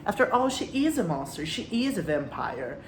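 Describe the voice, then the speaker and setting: A woman's voice: low voice, big pitch swings, fairly quick. A woman giving literary commentary as a video host, speaking reflectively and posing rhetorical questions to her listeners. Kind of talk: literary commentary with read-out quotes. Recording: roomy echo, faint background noise.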